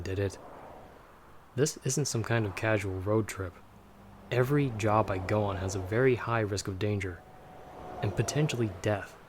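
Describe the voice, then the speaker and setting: A man plays a guarded vampire hunter for an audio roleplay, his voice on the low side. He talks to his companion in dry, measured, wry lines, protective underneath. There is faint noisy ambience behind him. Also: close to an everyday voice. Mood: stern, warning. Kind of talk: ASMR audio roleplay, scripted monologue to listener.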